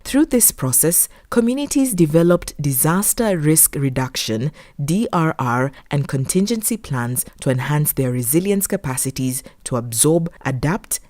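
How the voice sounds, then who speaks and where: A woman's voice: low voice, mellow, dry recording, studio quality. A woman reading scripted documentary narration.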